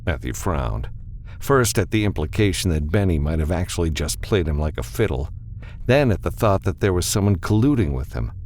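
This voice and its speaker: A man's voice: low-pitched, sing-song, moderate pace, darkish tone, a little hiss or hum. A man narrating an audiobook from a script as a storyteller.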